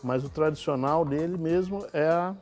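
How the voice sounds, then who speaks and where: A man in his forties from Minas Gerais, a guest chef calmly explaining a recipe step by step in a TV studio kitchen. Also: fairly high pitch, moderate pace, soft background music. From Minas Gerais, Brazil. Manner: relaxed, explaining step by step with small restarts. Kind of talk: cooking demonstration.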